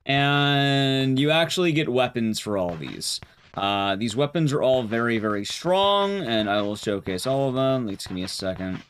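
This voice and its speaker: A man's voice: mid-range voice, big pitch swings, normal speed, a bit bright, clean tone. A man livestreaming a game and chatting casually with viewers, rambling and restarting his sentences as he goes. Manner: rambling, often restarting sentences mid-thought.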